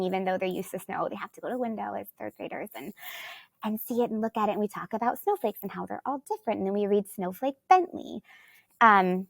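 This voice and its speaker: Woman, from Alaska, teacher guest, rambling and thinking aloud, gravelly fry, dry close sound. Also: mid-range voice, dry recording. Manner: thinks aloud, rambling with restarts and "you know". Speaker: third-grade teacher, guest. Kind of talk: conversational interview answers.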